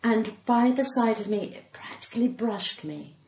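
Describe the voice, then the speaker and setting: A woman's voice: on the high side, animated, gravelly, darkish tone. A woman with a posh English RP accent telling anecdotes theatrically in a casual interview, like a practised comedian. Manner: theatrical, uses dramatic pauses and acted dialogue. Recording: echoey room with faint background noise.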